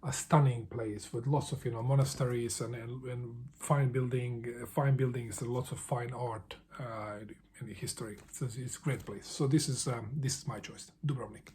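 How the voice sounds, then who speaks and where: Mellow, halting man. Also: mid-range voice, steady tone, slight background noise. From Bosnia. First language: Bosnian. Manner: halting, with frequent restarts and repeated words. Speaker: book vlogger. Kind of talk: casual answers to a book tag.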